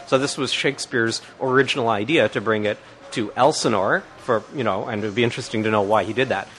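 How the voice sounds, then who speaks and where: A man's voice: medium pitch, a bit bright, a little hiss or hum. A man answering as a guest expert, explaining in a thinking-aloud way with many restarts and fillers.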